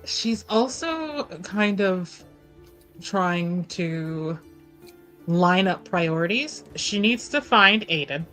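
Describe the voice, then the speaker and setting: A woman's voice: on the low side, lively intonation, extremely slow, fairly bright tone, soft background music. A woman chatting casually as a tabletop game player, thinking aloud with a giggly, informal manner.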